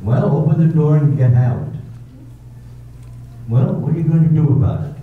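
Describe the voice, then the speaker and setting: An amateur actor in a staged radio drama reading, playing a brusque, wealthy older gentleman who talks in a dry, teasing, curt way. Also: fairly high pitch, normal speed, phone-quality sound, slight background noise, natural voice. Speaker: amateur readers theater actor. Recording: echoey hall, far from mic, phone-thin sound.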